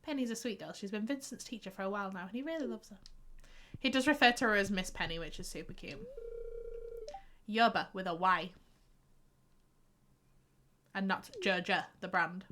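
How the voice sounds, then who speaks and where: A woman's voice: mid-range voice, moderate pace, pauses often, background noise. A young woman with a light Yorkshire accent, chatting and sighing playfully while streaming a game. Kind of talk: casual gameplay commentary.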